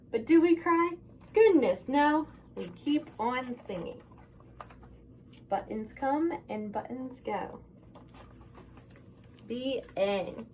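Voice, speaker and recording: A woman with a high voice reads a storybook for young children. Her delivery is slow, expressive and sing-song, phrased to invite answers from little listeners. There is light noise behind her. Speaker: storybook reader. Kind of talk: animated children's picture book read-aloud.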